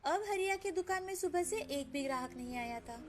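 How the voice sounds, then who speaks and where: A woman's voice: extremely high pitch, lively intonation, fairly quick, background noise. A woman narrating a scripted children's moral story as storyteller.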